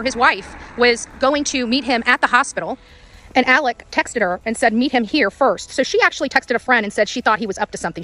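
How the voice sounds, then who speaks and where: A woman's voice: on the high side, fairly bright tone, dry recording. A woman doing unscripted vlog-style reporting outdoors, often repeating her phrases. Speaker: amateur on-site reporter. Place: outdoors in quiet rural area.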